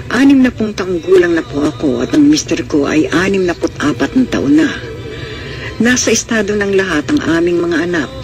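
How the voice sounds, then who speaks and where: A performer playing a modest, proud Filipino wife of sixty in a classic radio drama, earnest and measured. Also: mid-range voice, a little mellow, natural voice. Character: modest, proud sixty-year-old provincial wife and mother.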